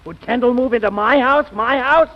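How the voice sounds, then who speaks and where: An actor playing a glib, pushy attorney with emphatic delivery in a 1940s radio comedy. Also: male voice, very high-pitched, normal speed. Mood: insistent. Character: fast-talking, pushy, glib male attorney. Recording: dull vintage radio recording.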